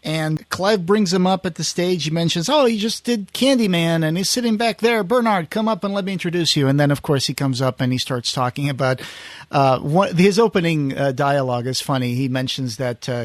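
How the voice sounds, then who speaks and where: Higher-voiced man chatting on a podcast. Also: dry recording, clean studio sound. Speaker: podcast host. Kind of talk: casual podcast chat recounting film history.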